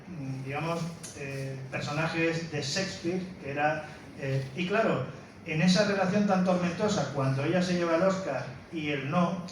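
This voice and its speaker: A man giving an informal, explanatory talk as a film presenter, often stressing points and seeking agreement. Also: on the high side. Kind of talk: informal spoken lecture introducing a film. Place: indoor room, presenting to an audience. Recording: very echoey room, distant mic, faint noise.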